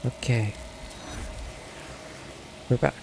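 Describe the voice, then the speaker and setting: A man's voice: very slow, background noise. A man streaming a video game, casually reading choices aloud in an understated, laconic way. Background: faint game music.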